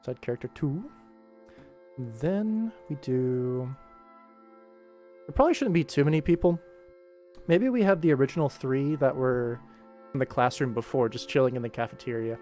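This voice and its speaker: A man's voice: mid-range voice, normal speed, long pauses, dark tone, soft background music. A young man rambling casually as he hosts a live work stream and talks through his animation work. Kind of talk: casual rambling livestream commentary while working.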